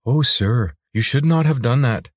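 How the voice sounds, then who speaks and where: A man's voice: mid-range voice, unhurried, no room sound, clean studio sound, pitched above the performer's own voice, lightly acted. A man voicing a small, loyal, fretful lizard creature in an audiobook, with formal, deferential phrasing. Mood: anxious warning. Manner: formal, deferential phrasing addressing a master as sir. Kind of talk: audiobook character voice.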